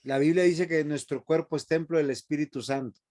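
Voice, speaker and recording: A man teaches a Bible class in a classroom, his voice sitting a little high for a man. He lectures in a preachy tone and keeps asking the students if they are following. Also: steady tone, brisk, warm tone. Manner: preachy, asks the class questions.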